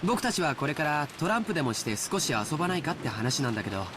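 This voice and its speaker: A man's voice: a little high, sing-song, rapid-fire. An actor playing a mild, courteous young man in a visual novel, speaking in a considerate way. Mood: friendly. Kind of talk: anime visual novel voice acting. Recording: clear rain noise over the voice.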